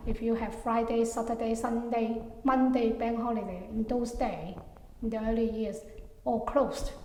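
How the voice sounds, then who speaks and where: A middle-aged woman from Hong Kong talks through her memories in a fairly high voice, pausing often on fillers and letting her sentences fade out. The room is echoey, with a long reverb tail behind her. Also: a little hiss or hum. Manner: hesitant, many fillers, soft trailing endings. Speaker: interviewee. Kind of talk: oral history interview.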